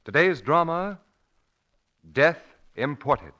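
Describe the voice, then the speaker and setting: Animated, forceful 1940s radio announcer man. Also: fairly high pitch, slow, dull audio, faint music behind. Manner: emphatic, declamatory. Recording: vintage 1940s radio broadcast.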